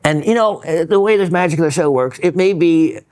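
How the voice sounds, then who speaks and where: A man hosting a gemstone show, reading viewer letters and chatting in a rambling way with many pauses and restarts. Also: a little high, expressive, a little mellow, dry recording. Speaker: host reading viewer mail. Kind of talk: casual educational chat.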